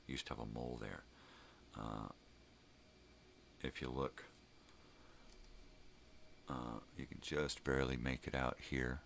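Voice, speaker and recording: An older man with a very deep, gravelly voice chats casually in a rambling, drawn-out way, as a vlog host. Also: fairly even intonation, a bit bright, a little hiss or hum. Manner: rambling, drawn-out, mild swearing.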